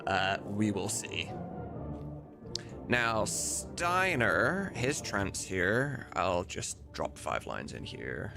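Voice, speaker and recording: A man's voice: fairly low pitch, big pitch swings, drawn-out words, gravelly, over music. A man hosting a casual livestream as a mod developer, rambling, blunt and sweary as he thinks aloud. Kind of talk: casual livestream chat while demonstrating.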